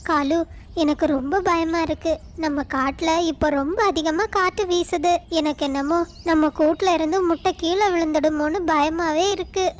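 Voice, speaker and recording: A woman voicing a bossy, fretful mother crow who pleads and scolds in an animated moral story. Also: extremely high pitch, moderate pace. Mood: worried.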